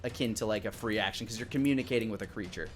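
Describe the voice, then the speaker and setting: A man's voice: lowish voice, very fast. Male game master narrating over music. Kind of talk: improvised narration of a live tabletop game.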